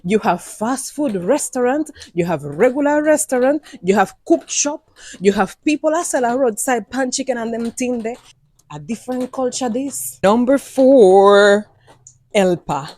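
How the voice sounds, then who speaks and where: A woman from Cuba speaks with a mellow, warm tone and a Jamaican accent, storytelling in a lively vlog monologue. She repeats phrases for emphasis and slips into patois. Her voice is recorded dry and close. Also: fairly high pitch, measured pace, dry recording.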